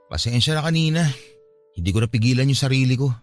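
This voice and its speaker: A man's voice: mid-range voice, animated, fast talker, faint music behind, close to an everyday voice. An actor playing a hot-headed, hurt young man in a radio drama, talking in a defensive, conversational way. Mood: defensive, sulky.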